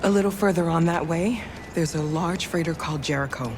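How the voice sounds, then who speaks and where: A woman's voice: fairly low pitch, brisk, a little hiss or hum, lightly acted. An actress playing a protective, reassuring woman helping fugitives in a video game. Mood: earnest.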